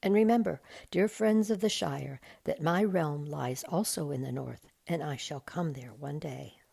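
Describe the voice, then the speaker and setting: Storybook king, read by a woman: noble, kindly authority, formal and firm, low and husky. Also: unhurried, dark tone, no echo, full character voice.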